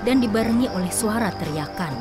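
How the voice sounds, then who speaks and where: A woman narrates an audio drama in a calm, measured way. Music plays clearly beneath her voice, and her pitch sits in the middle range.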